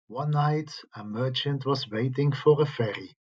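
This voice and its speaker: A man reading scripted tales aloud as a storyteller, with a husky voice. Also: mid-range voice, unhurried, lo-fi sound. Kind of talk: slow scripted ghost story narration.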